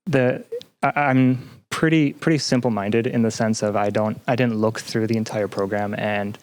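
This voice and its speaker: Lower-voiced man, halting podcast guest. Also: steady tone. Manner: halting, searching for words. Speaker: podcast guest, graduate student. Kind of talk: casual interview chat.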